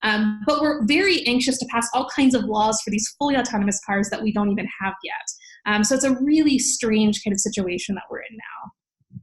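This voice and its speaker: A woman's voice: a little high, brisk, poor audio. A woman speaking as an interview guest, explaining in an articulate, emphatic way like a scholar. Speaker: podcast guest, legal scholar.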